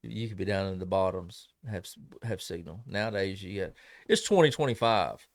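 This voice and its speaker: A man hosts a relaxed front-porch podcast, rambling easily and laughing often. His voice sits low and slightly raspy. The recording is dry and close. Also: moderate pace, no echo. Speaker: podcast host. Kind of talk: casual chat.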